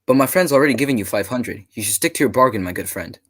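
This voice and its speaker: A man playing a genial, easily shocked English gentleman companion in a radio drama, earnest and given to exclaiming. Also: medium pitch, expressive, fast, no echo. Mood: indignant, earnest. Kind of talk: 1940s radio drama acting.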